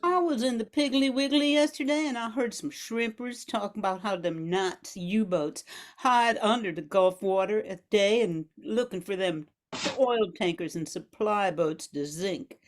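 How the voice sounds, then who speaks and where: A woman's voice: medium pitch, unhurried, close to an everyday voice. An older woman playing a scrappy Cajun grandmother in her fifties in an amateur radio play. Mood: bossy, folksy.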